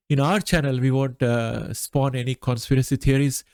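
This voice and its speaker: A middle-aged man with a nasal voice from an allergy, hosting a solo market commentary with measured delivery and frequent pauses. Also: medium pitch, a little mellow, dry recording, studio quality. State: recovering from allergy. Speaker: finance channel host.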